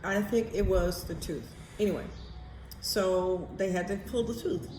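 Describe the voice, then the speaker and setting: A woman with an American accent and a fairly low voice talks off the cuff like a vlogger. She is candid and blunt, working through her thoughts aloud. The room is noticeably echoey, with faint noise underneath. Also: measured pace. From United States. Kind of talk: casual rambling personal storytelling. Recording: roomy echo, faint background noise.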